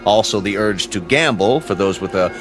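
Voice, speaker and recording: A man playing a sardonic know-it-all scientist in a radio drama. He has a fairly low voice and explains things with dry, clinical pedantry over background music. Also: lively intonation, normal speed, natural voice. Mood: lecturing. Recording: music behind the voice.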